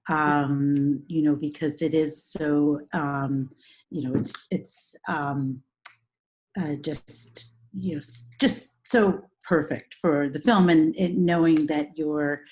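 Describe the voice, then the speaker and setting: An older woman puts questions to a guest over a video call. Her voice sits low and her delivery is unhurried. She pauses often and hesitates before getting to the question. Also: fairly even intonation, darkish tone, rough recording. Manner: halting, hesitant questions with many fillers. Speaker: interviewer. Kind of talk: casual interview questions. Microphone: laptop webcam on a video call.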